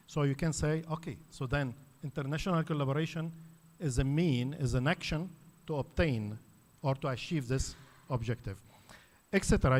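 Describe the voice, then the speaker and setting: A man's voice: medium pitch, a little mellow. A man from Lebanon, a native Arabic speaker, giving an academic conference talk in an explanatory way with frequent fillers and restarts. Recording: reverberant hall.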